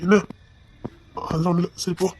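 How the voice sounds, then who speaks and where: A man's voice: high voice, flat delivery, fairly quick, very noisy, natural voice. An actor playing an embarrassed young man who curtly brushes off an apology. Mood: dismissive. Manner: curt, dismissive.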